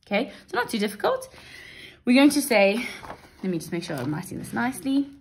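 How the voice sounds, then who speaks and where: A woman's voice: a little high, big pitch swings, a little fast, a little hiss or hum. A woman teacher talking through an exam paper at a desk, chatty and reassuring, often checking in with "right?" and "okay?". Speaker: maths teacher. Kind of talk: exam paper walkthrough lesson. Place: indoors at a wooden desk.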